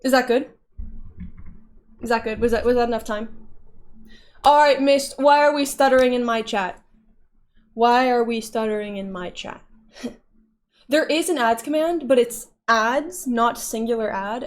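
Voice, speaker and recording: Casting: woman streamer, fairly high voice, chatty and playful, casual talk with her audience. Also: normal speed, long pauses. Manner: chatty, playful, often laughing. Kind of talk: casual livestream chat reading viewer messages.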